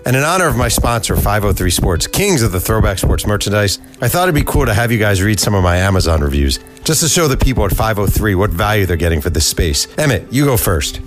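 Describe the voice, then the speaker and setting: A man's voice: on the low side, big pitch swings, quick pace, few pauses, soft background music. A man hosting an interview, riffing playfully and joking with his guest. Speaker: podcast host. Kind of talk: casual interview chat.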